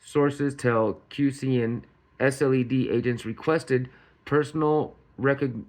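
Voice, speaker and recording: A man with a medium-pitched voice presents news as an informal commentator. He reads aloud in a casual manner, sometimes stumbling, repeating and fixing his words as he goes. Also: fairly even intonation, unhurried, a little mellow. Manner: stumbles over words while reading, repeating and correcting himself. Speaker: host of a commentary channel reading news.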